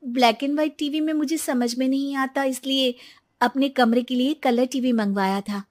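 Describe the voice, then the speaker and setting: A woman's voice: high-pitched, fairly even intonation, moderate pace, darkish tone, pitched above the performer's own voice, natural voice. A woman voicing a polite, dutiful young daughter-in-law who explains herself earnestly in a cartoon moral story. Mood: apologetic.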